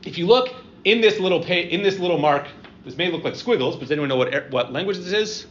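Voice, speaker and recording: Middle-aged male lecturer, slightly high voice, talks in stops and starts with constant "right?" checks, dull muffled room recording with faint background hum. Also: animated, a bit bright. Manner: conversational, halting, frequent "um" and "right?" tags. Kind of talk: university-style lecture with audience questions.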